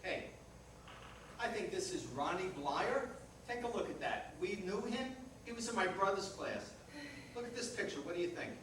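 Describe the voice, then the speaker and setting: A man's voice: sits high, moderate pace, a bit bright. A man playing a dry, grumbling older husband in a community reader's theater comedy. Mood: distracted, dry. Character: grumbling, distracted older husband reading the paper. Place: reader's theater performance in echoey venue. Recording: very echoey, distant, noisy hall recording.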